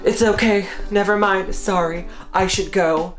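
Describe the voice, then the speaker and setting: A woman voicing a bold, rebellious young woman who talks in a low, teasing murmur, with guitar music playing behind. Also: steady tone, measured pace, lightly acted. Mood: apologetic.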